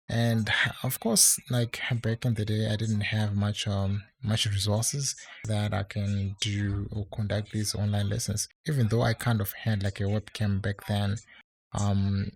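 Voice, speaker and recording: A man's voice: on the low side, gravelly. A man talking casually to his viewers, rambling with many fillers and restarts, with an English accent that is not American. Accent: non-American English. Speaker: online English tutor. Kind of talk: casual talk to camera.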